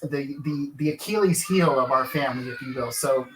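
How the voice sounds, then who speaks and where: A man from Kansas, a lawyer and author, answering interview questions in an earnest, halting way full of fillers and restarts. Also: medium pitch, a bit bright, reverberant, slight background noise, poor audio.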